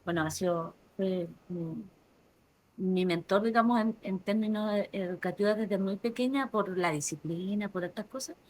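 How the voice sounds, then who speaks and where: A woman in her forties with a Chilean accent, speaking softly and reflectively as an interview guest, often restarting her phrases. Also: mid-range voice, normal speed, rough recording. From southern Chile. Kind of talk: casual interview conversation.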